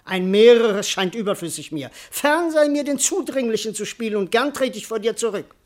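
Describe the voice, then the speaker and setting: An actor playing a cowardly, bewildered servant in a classical verse radio comedy, wordy, plaintive and comically indignant. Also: male voice, sits high, animated, normal speed. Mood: resigned. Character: bewildered, cowardly male servant doubting his own identity.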